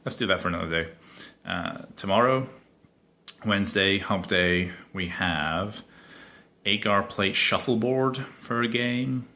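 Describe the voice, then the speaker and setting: A man's voice: fairly low pitch, a little slow, pushed and bright. A young man from Scotland with a faded Scottish accent, rambling chattily through a solo podcast with lots of ums. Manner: rambling, chatty, many ums and self-corrections. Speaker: podcast host. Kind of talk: casual solo podcast chat.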